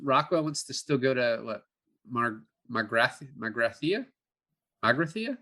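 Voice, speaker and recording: A man's voice: mid-range voice, big pitch swings, a little slow, pushed and bright. A man co-hosting a casual film review podcast, chatting in a jokey way. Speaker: podcast co-host.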